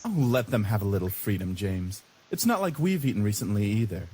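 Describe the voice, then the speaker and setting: Man voicing a low, suave, flirty incubus. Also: animated, brisk, a little mellow, slight background noise, close to an everyday voice. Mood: easygoing. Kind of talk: visual novel game voice acting.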